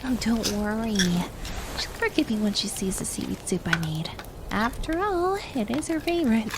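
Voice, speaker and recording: A playful, clingy young maid acted by a woman speaks in a high, very animated voice over clear background noise. She frets expressively and often sinks into soft whispers. The style is an ASMR roleplay drama. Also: moderate pace. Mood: sheepish.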